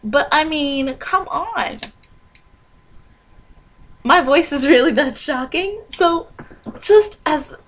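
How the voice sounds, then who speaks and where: A woman's voice: sits high, animated, slow, pauses often, a bit bright, slight background noise. A young woman from Maryland, telling a dramatic, self-mocking comic rant to her webcam in a small bedroom. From Maryland, USA. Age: young adult. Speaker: vlogger. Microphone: webcam at desk.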